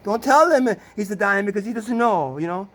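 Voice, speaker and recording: A man's voice: high-pitched, lively intonation, moderate pace, a bit bright. A man telling a personal family story in casual talk, stumbling over restarts and repeated words, swearing often and tossing in sarcastic rhetorical questions. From Italy. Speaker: storyteller recounting his family.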